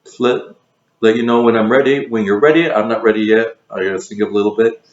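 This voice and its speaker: A man's voice: lowish voice, fairly even intonation, fast. Hesitant man hosting a casual game. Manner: hesitant, many fillers and restarts. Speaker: host. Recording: roomy, dull lo-fi sound.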